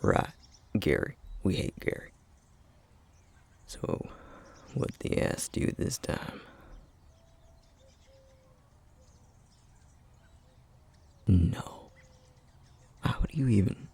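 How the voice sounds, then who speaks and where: A man performs a fond, gently wry single-dad boyfriend for an intimate ASMR roleplay. His low voice crackles with heavy fry and often slips toward a whisper. He murmurs softly and affectionately, with very wide swings in pitch. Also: wildly swooping pitch, slow, pauses often, heavily acted. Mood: curious, sardonic. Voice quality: breathy, husky, half-whispered. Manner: soft, hesitant, affectionate murmuring with soft chuckles. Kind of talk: ASMR roleplay, intimate one-sided dialogue to listener.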